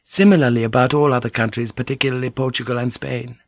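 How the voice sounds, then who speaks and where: Poised formal noblewoman interpreter, voiced by a male narrator, precise and polite, lifted higher than his own voice, dry close read. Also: fairly even intonation, quick pace, dry recording, close to an everyday voice.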